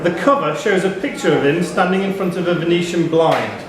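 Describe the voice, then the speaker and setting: High-pitched male quizmaster in echoey pub. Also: brisk. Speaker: pub quiz host and question reader. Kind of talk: live quiz reading with off-the-cuff banter. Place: live pub quiz in a busy room. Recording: echoey noisy pub, crowd chatter.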